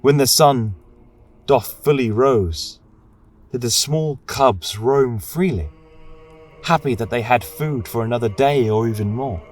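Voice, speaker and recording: A man voicing a serene female angel and warrior queen in a fantasy audio tale, soft, reverent and stately. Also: mid-range voice, a little slow, faint music behind, lightly acted. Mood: reverent wonder.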